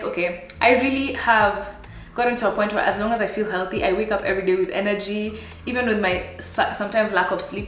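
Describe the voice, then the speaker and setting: A woman in her thirties with a Kenyan accent, chatting casually to her viewers in a life-update vlog, animated and laughing often. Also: mid-range voice, reverberant. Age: 30s. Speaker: vlogger.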